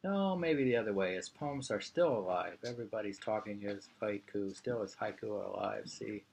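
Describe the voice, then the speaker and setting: A man's voice: lowish voice, normal speed, creaky, darkish tone, a little hiss or hum. A man reading an interview aloud in an informal way. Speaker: reader of a printed interview.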